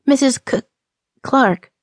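A woman's voice: medium pitch, animated, unhurried, no echo, studio quality, natural voice. Woman as a prim, flustered frontier temperance lady. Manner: proper, indignant, formal. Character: prim, flustered Old West temperance woman, easily scandalized.

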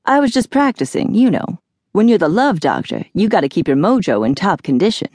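A woman's voice: medium pitch, sing-song, brisk, a little mellow, dry recording. A woman voicing a brash, jittery young male vampire with slangy, swaggering talk in an audiobook. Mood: cocky, sheepish. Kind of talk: audiobook character voice.

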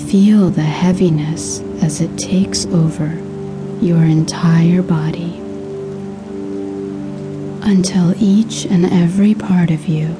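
A woman narrating a scripted guided sleep hypnosis in a soothing, hushed, breathy voice with measured pauses. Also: on the low side, steady tone, over music.

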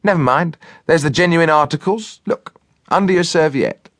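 A man's voice: a little high, moderate pace, fairly bright tone, dry recording, clean studio sound, voice pushed up, natural voice. A man voicing a big, uninhibited, chatty woman cook in a storybook, gushing and exclamatory. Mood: chatty, cheery.

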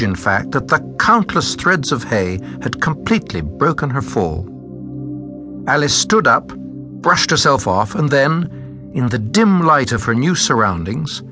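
A man narrating a children's story from a script, with expressive, suspenseful phrasing. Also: a little high.

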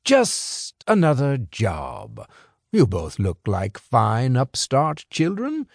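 A smooth crime boss , as a man puts him on drawls out each word slowly and persuasively. His pitch swings widely up and down as he talks. The sound is close and dry, with no room around it. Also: dry recording, full character voice. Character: smooth, scheming older male crime boss.

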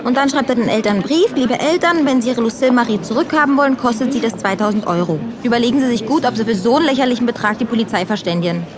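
An actress playing a lively, doting young mother with a casual, excitable manner in a children's audio drama. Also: a little high, very fast, hardly any pauses, crowd noise, lightly acted. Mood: affectionate, casual.

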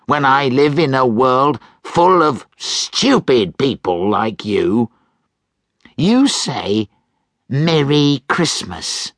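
A man's voice: medium pitch, big pitch swings, takes it slowly, sharp tone, no room sound, clean studio sound, voice pushed up, heavily acted. A man voicing a cross, miserly old man in a storybook reading, snapping and scornful.

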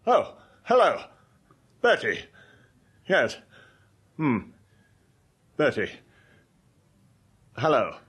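A hulking, awkward English gentleman in a radio comedy. His husky voice is lively in pitch, yet he gives short, halting answers. He sounds guilty and keen to evade questions. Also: heavily acted. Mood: awkward, curt. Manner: hesitant, curt, evasive short answers.

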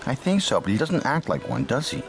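A man's voice: mid-range voice, huge pitch swings, a little fast, music in the background. An actor playing a calculating, detached young American man, narrating dryly and confidingly in a radio drama. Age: young adult.

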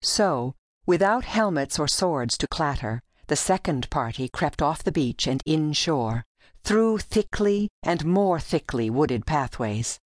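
Slow, measured woman narrating a story. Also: low-pitched, animated, no echo, studio quality. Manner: measured, deliberate phrasing. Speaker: audiobook narrator. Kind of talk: scripted literary storytelling narration. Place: dry recording studio.

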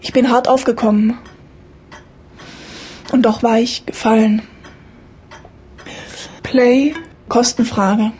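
A woman in her thirties reads her own life story as a scripted audiobook, matter-of-fact and in deliberate short phrases. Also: on the high side, steady tone, darkish tone, slight background noise. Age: 30s. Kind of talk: scripted autobiographical audiobook narration. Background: music.

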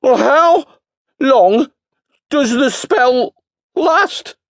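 A man's voice: sits high, wildly swooping pitch, unhurried, no echo, studio quality, pitched above the performer's own voice, exaggerated voice. A man doing a whiny, put-upon little homebody. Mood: grumbling, whiny. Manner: whiny, exaggerated complaining. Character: small, grumbling, self-pitying male homebody.

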